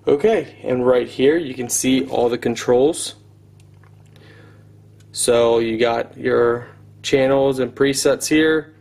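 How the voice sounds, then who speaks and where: A man's voice: medium pitch, slow, vocal fry, long pauses, darkish tone. A man in his thirties doing a casual product unboxing review, talking as a reviewer at home. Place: room at home.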